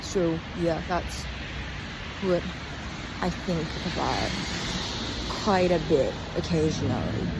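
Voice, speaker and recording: A young adult woman talking in a casual, rambling video diary, halting and candid, often interrupting herself. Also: deep voice, lively intonation. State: physically tired. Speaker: vlogger and acting student. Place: outdoors on an urban walk. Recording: outdoor street noise, passing cars. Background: traffic and city ambience.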